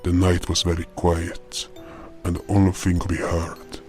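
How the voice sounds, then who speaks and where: Low-voiced man narrating fantasy. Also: monotone, measured pace, darkish tone. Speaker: storyteller, narrator. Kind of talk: scripted first-person fantasy narration. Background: music.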